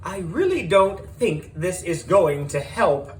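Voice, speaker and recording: Storybook schoolgirl acted by a man: prim, objecting, sceptical, voice lifted higher. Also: very animated, slow pace, slight background noise, exaggerated voice. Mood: disapproving.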